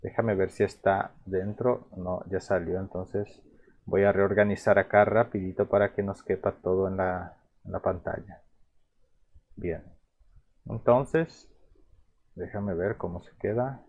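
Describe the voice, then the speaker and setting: A man from Paraguay with a Paraguayan Spanish accent and a Guarani mother tongue, teaching phrases in a relaxed, halting way with many repeats and restarts. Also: sits low, husky, phone-quality sound. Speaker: language teacher. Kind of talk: explaining vocabulary in a video lesson.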